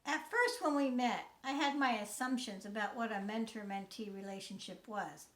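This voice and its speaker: Woman in her sixties, teacher, scripted talk, slightly high voice, echoey room. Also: moderate pace, fairly bright tone. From United States. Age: 60s. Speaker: teacher and mentor. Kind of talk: scripted talk, read aloud.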